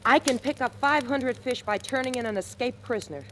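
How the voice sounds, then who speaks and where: An actress playing a tough, shrewd hobo in a 1940s radio thriller, sardonic, slangy and taunting. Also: female voice, fairly high pitch, brisk, a bit bright, lightly acted. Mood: hard, threatening. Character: tough wisecracking female hobo, shrewd and mocking. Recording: noisy old radio recording.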